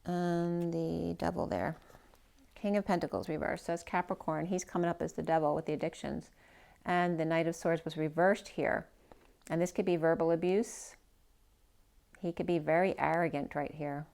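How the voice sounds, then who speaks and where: A woman with a low, gravelly voice works through a tarot spread in an unhurried, rambling way. Her voice is going, and she often trails off mid-phrase and starts over. It sounds like an informal, self-recorded reading. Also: fairly even intonation, darkish tone. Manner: thinking aloud, rambling, trailing off and restarting. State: losing her voice. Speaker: tarot reader. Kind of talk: casual spoken tarot reading.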